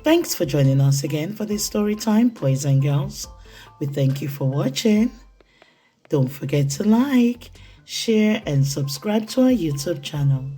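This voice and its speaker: A woman reading a children's picture book aloud in an expressive, theatrical way, with deliberate phrasing for young listeners. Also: low-pitched, faint music behind. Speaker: children's storytime reader.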